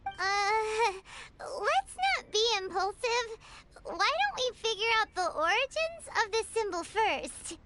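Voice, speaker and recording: A woman voicing a tiny, childlike, cheeky girl companion in an anime video game, squeaky and excitable. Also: slow, exaggerated voice. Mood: wary, suggesting. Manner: refers to self in third person, exclaims. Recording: game music behind the voice.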